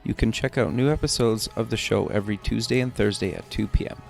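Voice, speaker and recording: A man's voice: fairly low pitch, fast, gravelly, soft background music. A man hosting an interview show, conversational and inquisitive, with loosely hedged phrasing. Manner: hedging, inquisitive, says "kinda" often. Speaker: podcast and radio show host.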